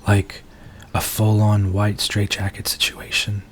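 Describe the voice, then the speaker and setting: A man playing a nervous, earnest werewolf in an intimate ASMR roleplay, speaking softly and haltingly as he confides in his date. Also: lowish voice, monotone, slight background noise, close to an everyday voice. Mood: apologetic, earnest. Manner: soft-spoken, hesitant, halting, earnest.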